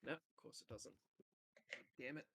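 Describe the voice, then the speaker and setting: A man narrating as game master of a tabletop role-playing game, casual and jokey, with a big booming voice. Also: normal speed, sharp tone.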